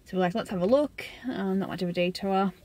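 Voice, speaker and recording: A woman's voice: mid-range voice, quick pace, dark tone, no room sound. A young Australian woman chatting casually to a handheld phone camera while out walking and driving. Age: young adult. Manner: chatty, lots of "like" and laughs. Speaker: travel vlogger. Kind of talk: casual travel vlog. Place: outdoors on forest and coastal walks, and in a car. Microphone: phone camera at arm's length.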